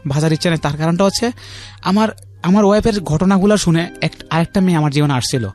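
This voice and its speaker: Bangladeshi man, thirties, fairly high voice, very quick pace, repetitive personal storytelling, radio guest. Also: a little mellow. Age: 30s. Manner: repetitive, uses frequent check-in tags. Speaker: guest telling his life story. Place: radio studio. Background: faint music.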